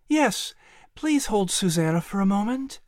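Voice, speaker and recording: Woman playing a lively, firm colonial mother. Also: mid-range voice, a little slow, dry recording, clean studio sound, pitched above the performer's own voice, close to an everyday voice. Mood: tender, concerned. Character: busy colonial mother, firm but gentle, cheery. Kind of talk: audiobook character voice.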